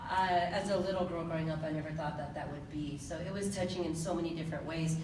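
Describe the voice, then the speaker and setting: A woman's voice: deep voice, fairly even intonation, quick pace. Woman choreographer in an echoey hall. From Pacific Islands. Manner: loud, laughing, teacher-like. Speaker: choreographer and dance teacher. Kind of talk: live event interview and demonstration. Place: large echoey event hall. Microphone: distant handheld phone recording. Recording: echoey hall from far off, noisy.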